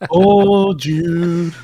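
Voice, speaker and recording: A man's voice: sits high, warm tone. A man chatting casually as part of a livestream group.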